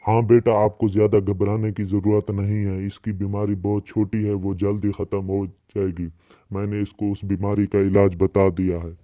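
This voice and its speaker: A man's voice: fairly low pitch, level tone, normal speed, dry recording, lightly acted. A man playing a paternal, instructing older doctor in a cartoon, on muffled audio. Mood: reassuring. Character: paternal older male doctor, advising, easily annoyed. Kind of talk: cartoon dialogue.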